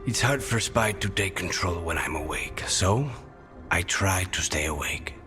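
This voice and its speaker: A man's voice: low voice, expressive, unhurried, over music. An actor playing a weary, stubborn, brooding man possessed by a demon in a fantasy video game. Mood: weary, dismissive.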